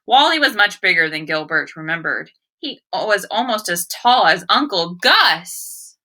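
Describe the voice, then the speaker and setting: A woman's voice: fairly low pitch, lively intonation, unhurried, bright, forceful tone. A woman teacher giving a read-aloud phonics lesson, speaking directly to children in an instructional way and sounding words out with emphasis. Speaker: elementary school teacher.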